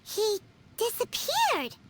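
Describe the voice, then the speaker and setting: A woman voicing a tiny, excitable, whiny childlike fairy companion in a video game, with a squeaky voice. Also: takes it slowly, exaggerated voice. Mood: worried, relenting. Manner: speaks of self in third person, exclamatory. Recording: very noisy game capture with clicks.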